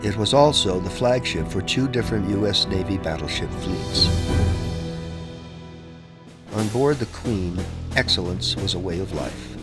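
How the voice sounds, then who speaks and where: Male narrator, low register, scripted history documentary read, prominent music underneath. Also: unhurried.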